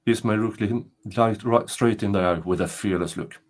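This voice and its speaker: An older man narrating a storybook tale aloud in a deliberate, dramatic way. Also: lowish voice. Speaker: storyteller reading folktales.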